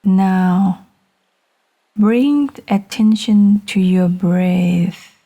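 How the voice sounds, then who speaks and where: A woman guiding a meditation in a gentle, soothing manner, speaking in short phrases with long, unhurried pauses. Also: medium pitch. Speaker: meditation guide. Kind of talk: guided meditation narration.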